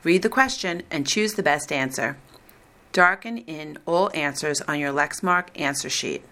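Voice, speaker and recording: Woman, exam reader, slightly low pitch, slow deliberate dictation, even pacing. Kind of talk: reading a recorded listening test script.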